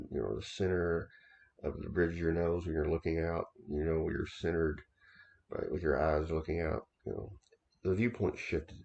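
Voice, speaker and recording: A man in his thirties or older speaks in a very low, heavily gravelly voice. He tells a personal story in a loose, unscripted way, circling back, repeating phrases and pausing on fillers as he feels his way through the account. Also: a little mellow, slight background noise. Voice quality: very deep gravelly voice. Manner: halting, repeats himself, many restarts and fillers. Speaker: occult author recounting experiences. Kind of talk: casual first-person storytelling.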